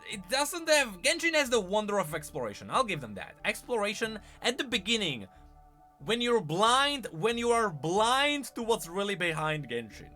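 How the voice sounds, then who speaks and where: A man's voice: high-pitched, big pitch swings, moderate pace, pushed and bright. A man giving casual live-stream commentary on gaming news, thinking aloud with rhetorical questions and emphatic repeats. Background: background music.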